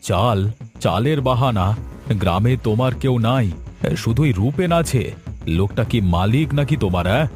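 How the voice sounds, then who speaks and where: A man's voice: mid-range voice, expressive, normal speed, a little mellow, soft background music, close to an everyday voice. A man playing a jealous, sarcastic, hot-tempered village husband in a cartoon drama, sneering and accusing. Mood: suspicious, angry. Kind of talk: Bengali cartoon drama acting.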